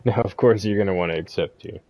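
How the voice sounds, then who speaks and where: A man's voice: lowish voice, fast talker, dark tone. A man giving a casual, easygoing game walkthrough as a streamer. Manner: laid-back, easygoing. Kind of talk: casual game guide commentary.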